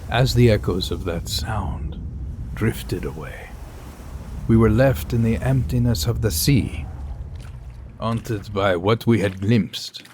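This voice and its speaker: A man's voice: fairly low pitch, takes it slowly. A man playing a brooding, awestruck professor, narrating a sea voyage in solemn, dramatic first person. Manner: solemn, dramatic, hushed intensity. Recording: noisy sea and boat ambience.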